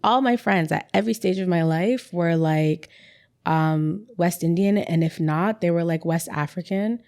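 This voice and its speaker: A young woman talks through a relaxed interview in a lowish voice. Her speech is loose and chatty, full of "like" fillers and repeated words. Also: measured pace. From Canada. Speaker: interview guest, writer. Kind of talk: casual conversational interview.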